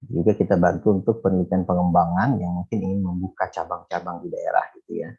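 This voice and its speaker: A man's voice: low-pitched, level tone, quick pace, vocal fry, slightly rough, highs cut off, faint music behind. A middle-aged man, an educator chatting casually and explaining his work in an interview. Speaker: teacher, education manager and author being interviewed. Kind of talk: casual explanatory chat with many fillers.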